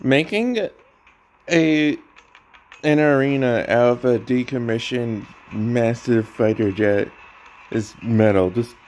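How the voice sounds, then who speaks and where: A man giving casual, halting commentary as he plays a video game. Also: medium pitch, slight background noise. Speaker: gaming streamer. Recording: loud game music behind. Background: video game music and dialogue.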